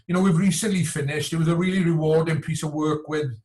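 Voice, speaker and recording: A man's voice: fairly high pitch, fairly even intonation, quick pace, a little mellow. A man from Wales speaking as a coach in a conversational interview, often circling back and repeating words with plenty of "you know". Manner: hesitant, repetitive, frequent "you know". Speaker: coach and entrepreneur, podcast guest.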